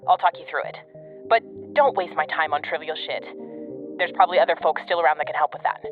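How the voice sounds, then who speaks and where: Brusque agent over a tinny radio. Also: female voice, deep voice, fairly even intonation, quick pace. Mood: grave, commanding. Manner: clipped, commanding, sardonic. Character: brusque, cynical, foul-mouthed government field agent woman, impatient veteran. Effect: radio communicator filter. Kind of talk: audio drama acting. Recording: band-limited radio link, music underneath.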